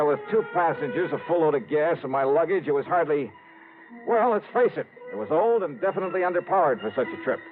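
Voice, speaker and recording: A man's voice: mid-range voice, narrow, tinny audio, natural voice. An actor playing a wry, hard-boiled male investigator, narrating and talking in punchy vintage radio noir style. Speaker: lead and narrator. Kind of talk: radio noir narration and dialogue, 1950s-60s acting. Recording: thin vintage radio recording with music.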